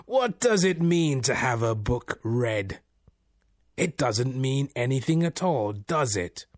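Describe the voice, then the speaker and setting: A man's voice: medium pitch, sing-song, slow, no echo, clean studio sound, voice pushed up. A man voicing a scornful, teasing bodiless spirit who fires off riddling questions in a storybook. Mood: harsh, mocking. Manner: scoffing, teasing, rhetorical questions. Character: mocking, laughing, bodiless male voice, scornful riddler.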